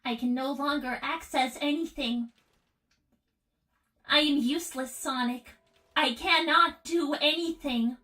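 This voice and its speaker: A woman's voice: high-pitched, unhurried, long pauses, sharp tone. A woman voicing a young robot girl in a livestream roleplay, solemn, withdrawn and formal in her speech. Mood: hopeless. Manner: formal, precise, subdued. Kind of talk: improvised in-character livestream roleplay.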